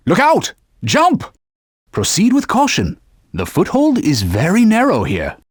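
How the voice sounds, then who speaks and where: An actor playing a stern, proud talking sword who guides a hero with formal, old-fashioned speech in a video game. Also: male voice, high voice, wildly swooping pitch, unhurried, slightly rough, no echo, clean studio sound, full character voice. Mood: alarmed. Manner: formal, stern, archaic phrasing. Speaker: sword companion giving gameplay hints. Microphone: dry studio booth.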